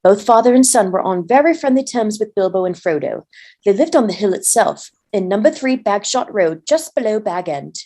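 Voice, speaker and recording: A woman's voice: medium pitch, lively intonation, moderate pace, warm tone. A woman volunteer reading a story aloud as narrator.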